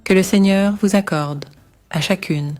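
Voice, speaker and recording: A woman's voice: mid-range voice, measured pace, darkish tone, no room sound, natural voice. A woman voicing a bitter, grieving older widow with solemn, formal speech in a biblical cartoon. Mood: tender blessing. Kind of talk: animated Bible story voice acting.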